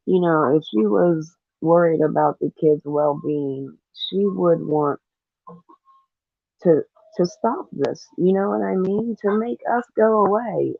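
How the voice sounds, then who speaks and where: A man's voice: high-pitched, creaky, no room sound. A man talking earnestly and disapprovingly as co-host of a casual livestream, reading out comments and giving commentary, with thin phone-like audio.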